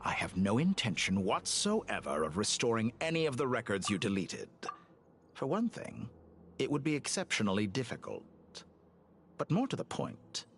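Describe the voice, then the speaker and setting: An actor playing a smug, cruel mad-scientist villain in a video game, pompous, taunting and condescending. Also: male voice, lowish voice, gravelly, fairly bright tone, slight background noise, full character voice. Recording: game music behind the voice.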